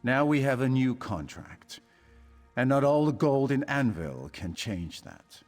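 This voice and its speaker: A man playing a calm, menacing assassin leader in a video game, speaking in a measured, formal, authoritative way with a husky voice. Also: mid-range voice. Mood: cold, commanding. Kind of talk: video game character dialogue. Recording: long hall reverb, music underneath.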